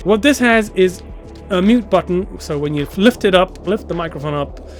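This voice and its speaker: A man giving a casual, unscripted gear review to camera, enthusiastic but halting, often repeating words and phrases. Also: high voice, lively intonation, soft background music. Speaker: product reviewer.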